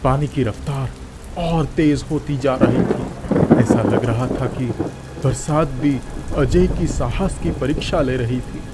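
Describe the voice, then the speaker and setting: A man's voice: mid-range voice, slow, heavy background noise. A man narrating a scripted children's moral story as a storyteller.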